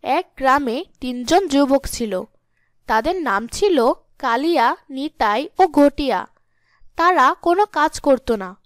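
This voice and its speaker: A woman's voice: sits high, animated, slow, no room sound, studio quality. A woman reading scripted storytelling narration for a cartoon.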